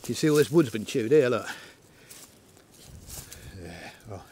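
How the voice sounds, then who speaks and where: A man's voice: mid-range voice, animated, a little slow, mellow, breathy edge. A middle-aged man, chatting casually outdoors in a windy field while shooting an air rifle and talking to his dogs. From England. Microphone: camera on a tripod, then handheld.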